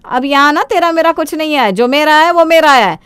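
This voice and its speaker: A woman acts out an older mother-in-law from a cartoon moral tale. She is greedy, scheming and brazen, scolding in a high, very animated voice. The sound is dry and close. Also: moderate pace, no echo. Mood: threatening. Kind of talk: Hindi cartoon moral story acting.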